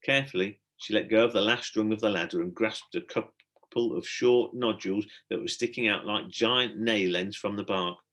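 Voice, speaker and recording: A man reading a children's adventure story aloud as a teacher would, in expressive storybook narration. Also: fairly low pitch, moderate pace.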